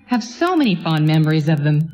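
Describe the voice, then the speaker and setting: Practical 1940s office assistant. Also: female voice, low-pitched, big pitch swings, brisk, a little mellow, lo-fi sound. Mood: concerned, encouraging. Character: practical, supportive female 1940s office assistant, quick-witted. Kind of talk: 1940s-set radio play acting.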